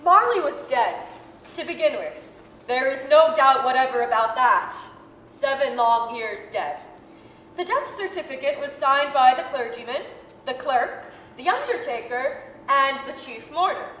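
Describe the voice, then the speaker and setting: A woman narrating a story dramatically, with emphatic, deliberate phrasing. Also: high voice, lively intonation, a bit bright. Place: performance hall stage. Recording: echoey hall with reverb, faint noise.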